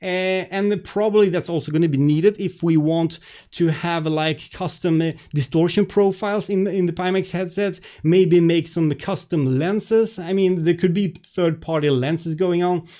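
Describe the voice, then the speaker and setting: A man with a Swedish accent talks on a live stream, his voice sitting a bit higher than most men's. He sounds stressed and keeps apologizing, tripping over restarts and filler words. He chats casually, straight to his viewers, over a webcam. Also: steady tone, unhurried, mellow.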